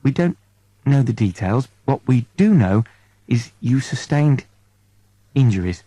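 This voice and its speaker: A man's voice: mid-range voice, very animated, a little slow, mellow, husky, no echo. A man voicing a concerned female nymph medic in an audiobook, breaking bad news in a careful, hesitant, matter-of-fact way. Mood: gently evasive.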